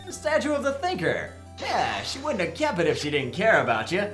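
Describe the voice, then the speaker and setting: A man's voice: sits high, wildly swooping pitch, brisk, a bit bright, heavily acted. A man playing a cocky, wisecracking young defense lawyer, sarcastic and boastful, in a visual novel fan dub. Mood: coaxing, dry. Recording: roomy sound, music behind. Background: game music.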